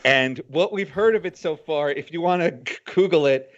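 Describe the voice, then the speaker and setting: A man's voice: on the high side, normal speed. Man on dull lo-fi audio. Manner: plain, upbeat plugging his show. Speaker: podcast guest. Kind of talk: casual chat.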